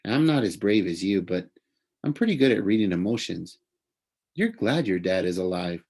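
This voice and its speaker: A man voicing a gentle, modest young male satyr, speaking in an earnest, reassuring way as part of a storybook reading. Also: on the low side, lively intonation, moderate pace.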